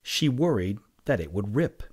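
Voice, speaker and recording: A man reading a children's story aloud with expressive, deliberate and dramatic phrasing. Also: fairly low pitch, breathy edge. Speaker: storybook reader.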